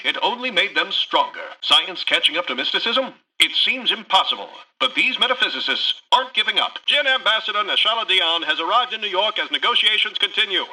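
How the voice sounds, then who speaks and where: A brash 1940s-style American newscaster for a game. The voice sits high and swoops dramatically from phrase to phrase, with a sharp, forceful edge. Each scripted headline is punched out theatrically, like sensational newsreel copy. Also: male voice, exaggerated voice, rough recording. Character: brash male old-time radio newscaster, sensational and dramatic.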